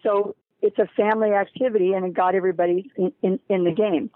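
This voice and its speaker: A woman's voice: mid-range voice, fairly even intonation, fast talker, no room sound. An American woman speaking emphatically as an interview guest, explaining a program and repeating words for stress. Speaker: guest, head of a horse-riding charity. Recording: narrowband phone-like line.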